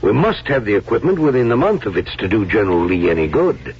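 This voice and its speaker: An actor playing a cautious, weary older president in a radio drama, deliberate and statesmanlike, with a rough husky voice. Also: male voice, fairly low pitch, sing-song, exaggerated voice. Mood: pondering, cautious. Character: weary, cautious older male Confederate president weighing risks. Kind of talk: 1970s radio drama acting. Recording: muffled vintage radio recording.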